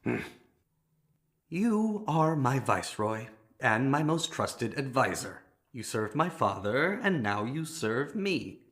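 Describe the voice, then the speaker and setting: An actor playing a haughty, commanding prince in an audio drama, with deliberate theatrical delivery. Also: male voice, medium pitch, sing-song, fairly bright tone. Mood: brooding, calculating. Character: imperious, haughty prince ruling a stricken realm. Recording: music behind the voice.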